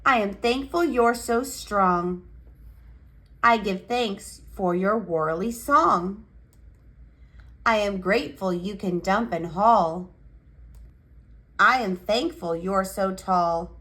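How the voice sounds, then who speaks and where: A woman reading a picture book aloud for young children in an expressive, cheerful and measured storytelling manner. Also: mid-range voice. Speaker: children's picture book reader and channel host.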